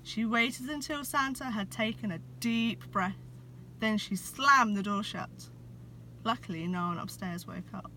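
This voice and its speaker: A woman reading a children's picture book like a teacher, expressive, with dramatic pauses, over background noise. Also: mid-range voice, rough recording. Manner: dramatic pauses, addresses young listeners.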